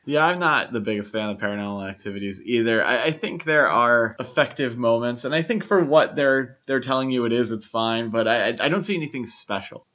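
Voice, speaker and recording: The audio is a little dull and lo-fi. A man with a mid-pitched voice co-hosts a casual film podcast. He talks in an explanatory, opinionated way. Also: a little fast, few pauses, fairly bright tone. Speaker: podcast co-host.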